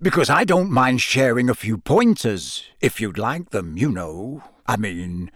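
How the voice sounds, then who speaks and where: A man voicing a jovial middle-aged male games official in an audiobook, chummy, booming and theatrical. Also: sing-song, measured pace, dry recording, studio quality. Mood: conspiratorial. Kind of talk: acted audiobook dialogue.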